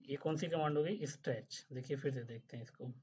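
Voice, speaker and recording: A man teaching drafting software in a screen-recorded tutorial, explaining step by step, checking in often, and mixing English phrases into his Hindi. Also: medium pitch, a little fast, husky, lo-fi sound. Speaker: drafting instructor. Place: voice-over over screen capture.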